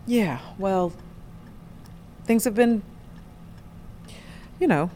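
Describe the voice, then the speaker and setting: A woman's voice: mid-range voice, expressive, very slow, dark tone, a little hiss or hum. An actress playing a guarded, weary daughter back in her hometown in an audio drama, terse and noncommittal. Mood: awkward, resigned. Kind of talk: naturalistic audio drama acting.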